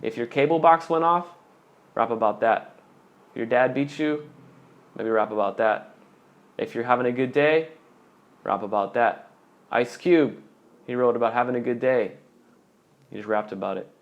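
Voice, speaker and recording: A man's voice: medium pitch, moderate pace, long pauses, dull audio. Casual man coaching rap on a live stream. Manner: casual, reads viewer questions aloud and answers.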